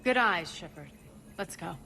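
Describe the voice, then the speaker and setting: A woman playing a ruthless, imperious crime boss in a video game, commanding, sardonic and deliberate. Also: lowish voice, lively intonation, crowd noise. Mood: brisk, businesslike. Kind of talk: dramatic video game voice acting.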